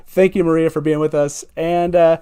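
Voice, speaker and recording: A man's voice: on the high side, level tone, moderate pace, warm tone, smooth. A man hosting a live fan talk show in a casual, enthusiastic and emphatic way.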